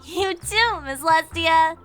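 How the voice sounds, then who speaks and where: A woman voicing a cheerful, scatterbrained female pegasus mail carrier in a squeaky, goofy cartoon voice for a storybook reading, with music behind. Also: measured pace, fairly bright tone.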